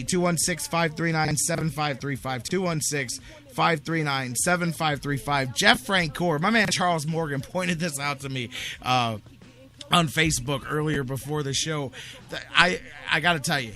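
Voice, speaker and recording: A male sports talk host with a bright, punchy voice pitched a little high. He talks in an emphatic, insistent way, driving opinions home by repeating them.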